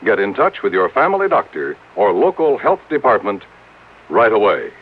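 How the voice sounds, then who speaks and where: A man's voice: fairly low pitch, big pitch swings, moderate pace, husky. An American man working as a 1950s radio announcer, reading scripted copy in a formal, emphatic, rhetorical way. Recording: narrow, tinny vintage radio recording.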